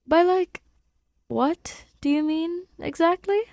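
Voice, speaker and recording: A woman voicing an awkward, fond, self-deprecating man in an audiobook, halting and conversational. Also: very high voice, darkish tone, no room sound, studio quality, voice pushed up, full character voice. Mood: flustered. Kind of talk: audiobook fan fiction dialogue.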